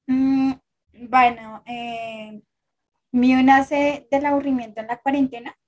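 A woman's voice: high-pitched, steady tone, takes it slowly, a little mellow. A woman of twenty-one from Colombia, a guest on a podcast, chatting in a playful, teasing way. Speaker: interview guest, content creator. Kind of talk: casual podcast chat.

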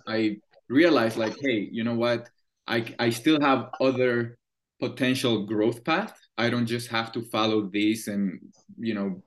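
A man in his thirties from Venezuela with a Spanish accent, a guest talking through his story at length with frequent pauses and restarts. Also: medium pitch. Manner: thoughtful, explains at length with many "uh" and restarts. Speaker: guest, screenwriter and producer. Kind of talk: casual interview chat.

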